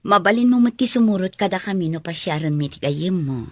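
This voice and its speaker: A woman's voice: on the low side, lively intonation, brisk, darkish tone, dry recording, studio quality. An actress playing a mother in a radio drama who misses her child. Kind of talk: Ilocano radio drama acting.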